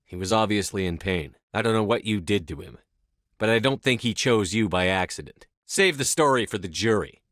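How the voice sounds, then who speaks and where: A man acting in a video game as a commanding, resolute space soldier, speaking firmly with measured authority. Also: fairly low pitch, expressive, fairly bright tone, no echo, clean studio sound. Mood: firm, persuasive. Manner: firm, authoritative, measured delivery. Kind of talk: scripted game dialogue acting.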